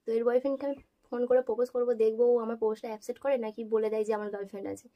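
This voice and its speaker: Woman, young adult, high pitch, extremely quick speech, casual prank-call chat. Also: steady tone, muffled recording, heavy background noise. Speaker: prank caller and host.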